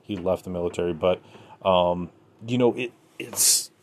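A man hosting a solo podcast, talking casually and haltingly, speaking straight to his listeners. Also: sits low, slight background noise. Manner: conversational, halting, addresses listeners directly. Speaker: podcast host.

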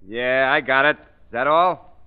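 A thin, phone-like vintage radio recording features a surly tough crook played by a male actor. His middle-pitched talk is gruff, slangy and short-tempered, with no reverence for anyone. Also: unhurried, close to an everyday voice. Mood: impatient. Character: surly, irreverent crook, hot-tempered and easily rattled. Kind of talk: old-time radio drama acting.

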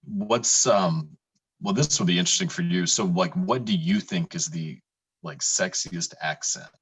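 A man runs a relaxed interview with an easygoing manner. His voice sits very low and sounds husky and breathy. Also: a little slow, dry recording, low-quality recording. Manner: easygoing, lots of yeahs and backchannel. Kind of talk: casual interview.

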